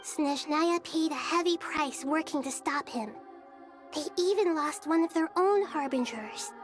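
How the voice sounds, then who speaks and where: Small wise goddess, high childlike voice, composed, measured, gently playful, game character, music behind. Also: female voice. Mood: composed, formal. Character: gentle wise childlike female deity and ruler. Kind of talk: video game voice acting.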